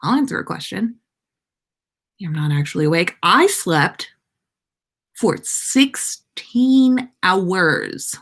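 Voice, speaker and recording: A woman's voice: lowish voice, big pitch swings, slow pace, pauses often, a bit bright. An American woman hosting a casual livestream, chatting to viewers in a playful, rambling way. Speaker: podcast livestream host.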